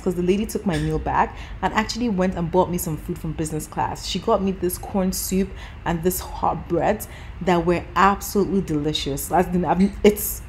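A woman in her thirties chats brightly through a travel review, with a lowish voice and easy fillers. She speaks to a camera held close, with a faint hum in the background. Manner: chatty, upbeat, lots of fillers like "you know". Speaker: travel vlogger. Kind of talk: casual vlog chat and voice-over review. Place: airport lounge, indoors. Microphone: camera held close at arm's length.

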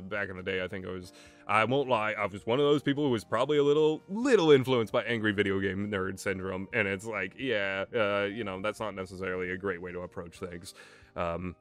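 A man giving casual, rambling commentary as a reviewer, speaking haltingly and emphatically and often repeating himself. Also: lowish voice, sharp tone. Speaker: visual novel reviewer.

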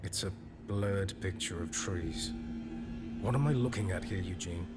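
A man's voice: low voice, unhurried, loud music behind the voice. A man acting in a video game as a middle-aged, hard-bitten mercenary hunter, blunt, sardonic and skeptical.

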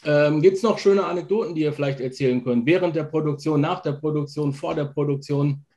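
A man with a fairly high voice hosts an interview on a video call. He talks casually from a living room, as a reviewer chatting with his guests. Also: a little fast, dark tone.

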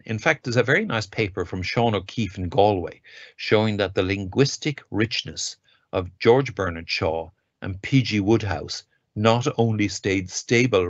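A man's voice: fairly low pitch, dry recording. A man giving an academic lecture from a home study over a webcam, speaking with enthusiasm and pointed emphasis. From Ireland. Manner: enthusiastic, reads quotes aloud with emphasis. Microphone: webcam on a video call. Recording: dull lo-fi video call audio, dry.

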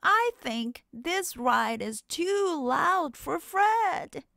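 Woman voicing an excitable, high-pitched young fox. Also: very slow, gravelly, dry recording, studio quality, full character voice. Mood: worried. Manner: animated, exclamatory. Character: excitable, competitive young cartoon fox in a storybook.

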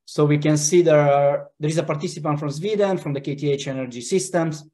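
A man with an Italian accent hosts an online webinar in a relaxed way, pausing often with "uh" fillers. He speaks over a video call from a home office. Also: a little high. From Italy. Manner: relaxed, hesitant, with frequent "uh" fillers. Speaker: webinar host.

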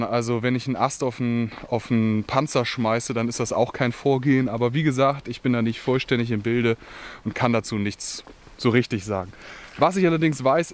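A young man chatting to camera in a forest as an outdoor vlogger, opinionated and loose, with many fillers and asides. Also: mid-range voice. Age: young adult. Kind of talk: casual chat to camera. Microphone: camera held at arm's length.

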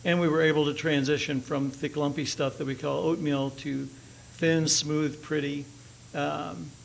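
A man's voice: fairly high pitch, level tone, unhurried, vocal fry, slight background noise, poor audio. An older man, a company executive, giving a conference presentation on stage at a podium microphone, with dry, chatty jokes. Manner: dry joking, loose and chatty. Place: large auditorium stage.

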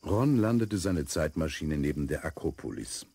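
A man's voice: extremely low pitch, expressive, moderate pace, creaky, dark tone, breathy edge, no room sound, full character voice. A man narrating a children's audio drama in a scripted storytelling style.

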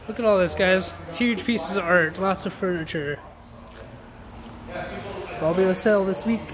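High-voiced man giving enthusiastic sales patter. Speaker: auction house presenter. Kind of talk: informal promotional walkthrough of sale items. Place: large warehouse showroom, indoors. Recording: noticeable background noise in warehouse.

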